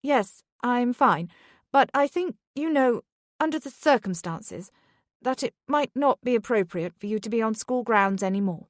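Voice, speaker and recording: A woman's voice: on the high side, lively intonation, measured pace, no echo, studio quality, pitched above the performer's own voice. A woman reading the part of a nervous, hesitant middle-aged headmistress in an audiobook. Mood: flustered.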